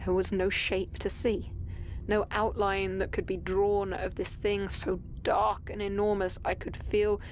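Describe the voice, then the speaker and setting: An awestruck astronaut played by a woman gives a grave account of cosmic terror in a horror audio drama. The delivery is slow and even, with faint noise and ambience behind it. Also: mid-range voice, close to an everyday voice, low-quality recording. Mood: awed dread. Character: astronaut recounting awe and terror at a vast dark entity.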